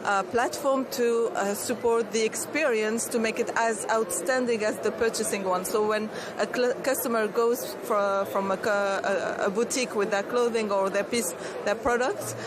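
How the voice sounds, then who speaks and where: A woman in her thirties from Tunisia, a startup founder answering interview questions earnestly, with many restarts and fillers. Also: fairly high pitch. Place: outdoor expo stand. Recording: clear street noise behind.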